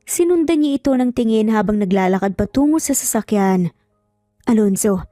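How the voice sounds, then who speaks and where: Woman, dramatic story narration, mellow tone, close dry recording, music behind. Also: fairly high pitch, expressive, a little fast, no echo. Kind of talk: scripted dramatic romance narration. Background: background music.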